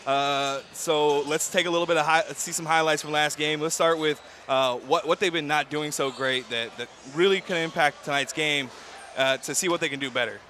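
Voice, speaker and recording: A man's voice: fairly high pitch, steady tone, moderate pace, pushed and bright, a little hiss or hum. A man commentating a college pregame show in a gymnasium, hesitant, with lots of fillers and restarts. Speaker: sports commentator.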